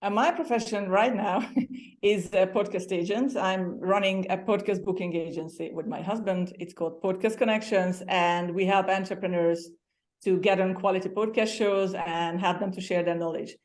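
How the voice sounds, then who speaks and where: A woman from Hungary speaks English with a Hungarian accent in a casual, chatty interview. Her voice sits in a middle pitch, and she laughs lightly and now and then restarts a sentence. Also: steady tone, moderate pace. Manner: chatty, laughs often, some restarts and slips. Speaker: guest.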